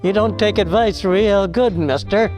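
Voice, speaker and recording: An actor playing a menacing nightclub tough in a 1940s radio play, curt, slangy and threatening. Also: male voice, high-pitched, unhurried, music in the background.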